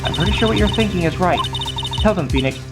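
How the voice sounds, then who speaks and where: A woman's voice: on the low side, animated, fast. A woman streamer doing a voiced read-through of a visual novel game. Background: game music.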